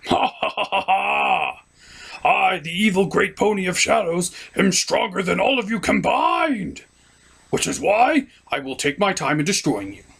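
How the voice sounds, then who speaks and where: Cackling evil shadow pony for a comic puppet show: hammy, boastful, raspy and gravelly. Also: male voice, sits high, expressive, fairly bright tone, voice pushed up, heavily acted. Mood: boastful menace. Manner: theatrical, drawn-out boasting with villain cackles. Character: gloating evil shadow pony villain, theatrical and silly. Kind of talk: comedic puppet-show review parody.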